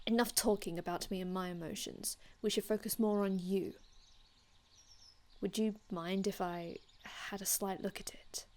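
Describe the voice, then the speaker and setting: An aloof old-world male vampire healer, played by a woman, speaks slowly in an ASMR roleplay. The delivery is hushed and haughty, with formal, archaic wording and every phrase carefully measured. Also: mid-range voice, steady tone, natural voice. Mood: cool, detached, then calm, careful. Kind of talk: ASMR roleplay, scripted in-character monologue.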